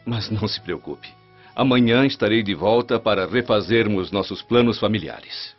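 Faint music sits under a dull radio drama recording of a Roman official. His lowish voice is measured and formal, that of a dutiful, reassuring head of a family. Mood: firm, reassuring. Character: dutiful Roman official, affectionate but firm husband and father. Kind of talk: radio soap opera acting.

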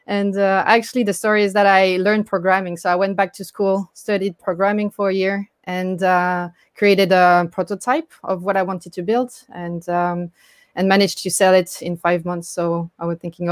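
A woman's voice: medium pitch, dry recording, studio quality. French woman, hesitant startup founder. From France. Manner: hesitant, many fillers. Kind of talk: online interview talk.

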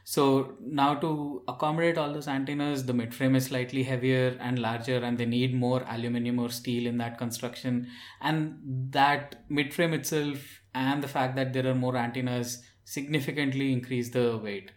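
A man's voice: mid-range voice, little pitch movement, moderate pace, echoey room. A man in a casual tech discussion, talking in an explanatory manner as a podcast panelist.

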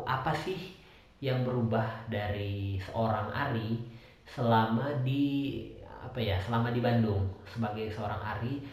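Young male podcaster, softened Medan accent, lowish voice, rambling solo chat, very echoey room. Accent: Medan Indonesian, softened. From Medan, North Sumatra. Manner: rambling, many fillers and restarts. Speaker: podcast host. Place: indoors at home, late night.

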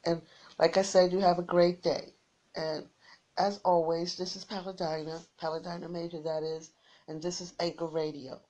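A tired woman who is losing her voice, rambling through friendly shout-outs to listeners on her small internet radio station. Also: on the low side, little pitch movement, moderate pace, poor audio. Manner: rambling and warm, repeats her sign-off.

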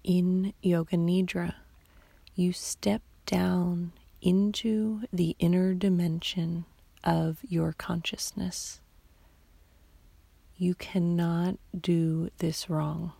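Very slow, breathy woman guiding sleep meditation. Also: on the low side, level tone, no room sound. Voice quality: soft, breathy, half-whispered. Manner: slow, soothing, long pauses between short phrases. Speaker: meditation guide.

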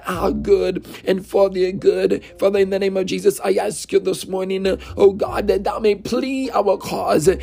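A man's voice: high-pitched, creaky, a little mellow. A man leading a fervent spoken prayer, pleading and repeating phrases, at times half-singing and speaking in tongues. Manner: impassioned, repetitive, half-sung intercession with tongues. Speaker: prayer leader.

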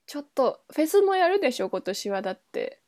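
A woman's voice: high voice, very animated, a little fast, a little mellow, no echo, studio quality. A woman co-hosting a podcast in casual, colloquial conversation. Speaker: podcast co-host, performing musician. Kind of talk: casual chat.

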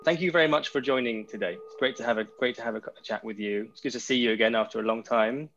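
A man's voice: mid-range voice, fast, highs cut off, music in the background. A man hosting a podcast interview in a thoughtful, conversational way, choosing his words tentatively.

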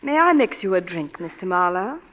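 Coy glamorous young wife, teasing, sing-song delivery, old radio drama, dull sound. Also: female voice, on the low side, sing-song, moderate pace. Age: young adult. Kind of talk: 1940s radio acting. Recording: dull 1940s radio broadcast.

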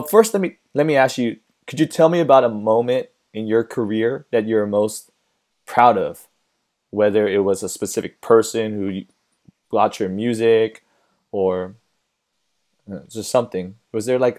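A man hosting a podcast in casual chat, with a hoarse, raspy voice from losing it, laid-back and quick to laugh. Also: lowish voice, darkish tone. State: hoarse, lost voice. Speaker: podcast host.

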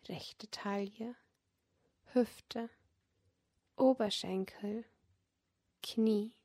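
A woman leading a guided yoga nidra relaxation as a meditation guide, speaking in a gentle, soothing manner that often drops close to a whisper. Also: mid-range voice, gravelly, slightly rough. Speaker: meditation guide and podcast host.

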